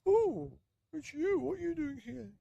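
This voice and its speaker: A man's voice: very high voice, sing-song, unhurried, vocal fry, warm tone, no room sound, lo-fi sound, voice pushed up, heavily acted. A man voicing a very old man in a children's storybook, kindly, reassuring and easily startled. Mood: surprised.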